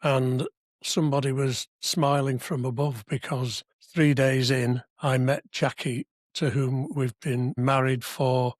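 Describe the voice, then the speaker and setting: Husky older man from Wales, reminiscing. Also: mid-range voice, flat delivery, slow, no room sound. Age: 70s. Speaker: podcast guest, civic society member. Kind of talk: conversational reminiscence. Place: podcast studio.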